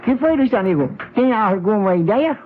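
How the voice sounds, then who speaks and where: A man playing an excitable, deferential Japanese sidekick in an old Brazilian radio mystery, effusively agreeing. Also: high-pitched, moderate pace, noisy background. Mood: eager. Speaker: radio drama actor. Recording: thin, phone-like vintage radio sound.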